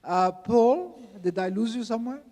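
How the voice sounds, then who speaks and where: A man hosting a conference, introducing the keynote speaker in a halting way with light laughs. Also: very high voice, animated, warm tone. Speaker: event host. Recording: lecture hall reverb.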